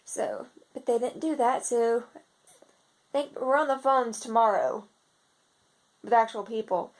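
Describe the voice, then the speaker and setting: Rambling young woman, higher voice. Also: unhurried, low-quality recording. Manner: rambling, many restarts and "like" fillers. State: slightly raspy from seasonal congestion. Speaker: vlogger. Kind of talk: casual video diary.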